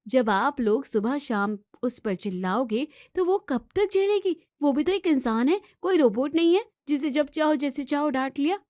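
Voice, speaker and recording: Actress as young student daughter, outspoken and argumentative, highish pitch, dry recording, family drama. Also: female voice, moderate pace, few pauses, a little mellow, no room sound, close to an everyday voice. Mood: firm, warning. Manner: scolding, argumentative, emphatic rhetorical questions. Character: outspoken young student daughter defending her sister-in-law. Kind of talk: Hindi family drama voice acting.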